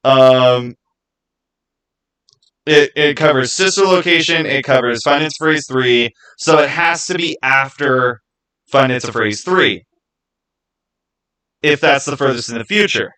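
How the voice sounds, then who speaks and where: A man's voice: medium pitch, measured pace, long pauses, pushed and bright, clear, resonant tone, rough recording. A man hosting a casual livestream, rambling and thinking aloud as he answers viewers by name. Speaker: livestream host.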